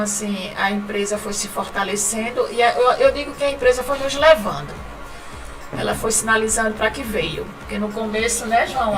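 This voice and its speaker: A woman from Northeast Brazil speaks with a northeastern Brazilian accent, talking freely as a podcast guest. Her voice sits a little high and she laughs often, repeating words as she goes. She is heard from a step back from the mic, with clear music playing behind her. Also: recorded from a distance, poor audio. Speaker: guest, writing company founder. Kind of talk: casual podcast interview.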